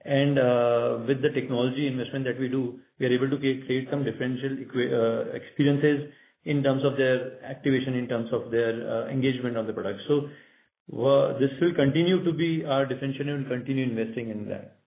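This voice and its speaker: A man with an Indian accent, a company executive giving halting, measured, unscripted answers on a business call. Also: mid-range voice, steady tone, phone-quality sound. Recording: echoey, band-limited conference call line.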